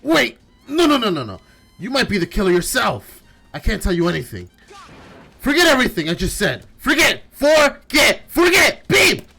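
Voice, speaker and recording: A bombastic young student from a visual novel, put on by a man, pitched high and yelled out. He is excitable and emphatic, swinging widely in pitch over light music. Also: normal speed, creaky, exaggerated voice. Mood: frantic yelling. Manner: booming, yelling, emphatic. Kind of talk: amateur over-the-top visual novel voicing. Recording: music behind.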